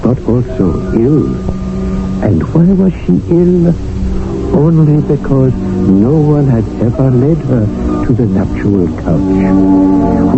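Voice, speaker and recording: An actor playing a wry, scheming male household servant who narrates in an ornate, theatrical 1970s radio drama style. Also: mid-range voice. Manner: sly, ornate, theatrical delivery. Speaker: narrator and manservant. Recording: tinny old radio broadcast with music.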